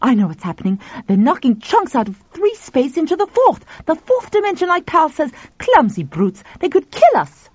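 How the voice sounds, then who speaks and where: A woman voicing an agitated, analytical male scientist in an audiobook, speaking urgently and haltingly as if thinking aloud. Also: on the high side, wildly swooping pitch, husky, no echo, muffled recording, pitched above the performer's own voice, exaggerated voice. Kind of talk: audiobook character dialogue.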